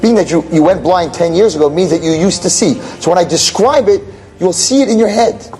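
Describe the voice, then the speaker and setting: A man's voice: sits high, fairly quick, a little mellow, faint music behind, close to an everyday voice, low-quality recording. A man voicing a kind, cheerful old artist in a wheelchair in a spoken story, talking in an earnest, insistent way. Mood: earnest, pleading.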